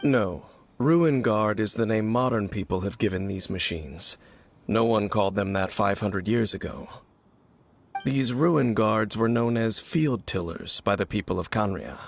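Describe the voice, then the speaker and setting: A man voicing a solemn, formal knight in a video game, speaking in a measured, grave way. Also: lowish voice, animated, creaky, heavily acted. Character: solemn male knight, grave keeper of ancient secrets.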